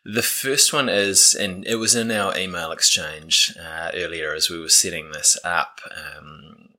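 A man hosting a podcast, asking questions in a relaxed, friendly way in his guest's living room, with many ums and restarts. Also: low voice, unhurried. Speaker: podcast host. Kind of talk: casual interview.

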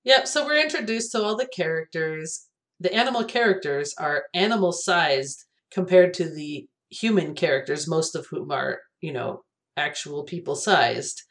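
Brief: co-host, middle pitch, easygoing, laughs a lot. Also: moderate pace. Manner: relaxed and agreeable, laughs often. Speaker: podcast co-host. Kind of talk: casual chat reviewing old cartoons.

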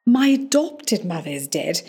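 A woman's voice: medium pitch, very animated, measured pace, warm tone, husky, exaggerated voice. A woman playing a polite, lonesome middle-aged actress in hospital, in a radio play. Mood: reserved. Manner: polite, modest. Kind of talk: amateur radio play acting.

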